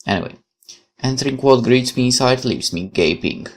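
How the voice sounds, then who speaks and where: A man's voice: mid-range voice, lively intonation, moderate pace. A man playing a game for viewers, reading visual novel narration aloud like a dramatic storyteller and adding musing commentary. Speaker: let's player.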